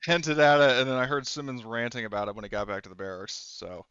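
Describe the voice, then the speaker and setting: A man's voice: medium pitch, fairly even intonation, fast talker, a bit bright, clean tone, dry recording, muffled recording. A man acting as game master of a tabletop roleplaying game, talking casually and hesitantly as he searches for words.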